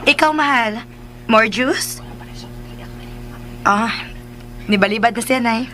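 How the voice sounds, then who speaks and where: A woman's voice: on the high side, slow, pushed and bright, slight background noise. A woman playing a caring, puzzled younger woman in a radio drama, hesitant and confiding as she speaks. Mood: gentle, hesitant.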